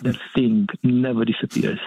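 A priest from Romania, a guest talking in a casual interview, thoughtful and often laughing. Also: male voice, mid-range voice, level tone, moderate pace, creaky, mellow, slight background noise. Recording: tinny phone line.

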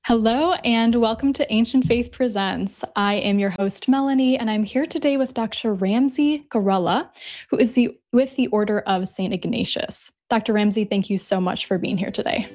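A woman's voice: fairly high pitch, moderate pace, a little mellow. A woman speaking as the host of an interview program. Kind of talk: hosted interview.

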